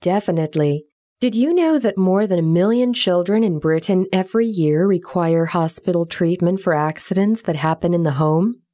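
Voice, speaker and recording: Woman reading scripted interview answers, low warm voice, calm explaining, dead dry mic. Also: moderate pace, no echo. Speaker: interview guest in a listening test.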